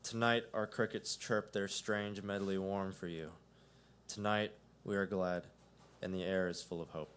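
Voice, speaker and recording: A man reading his own poems aloud at a coffee shop open mic, with a measured delivery that swells. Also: deep voice, fairly even intonation, a bit bright, smooth. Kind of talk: poetry reading.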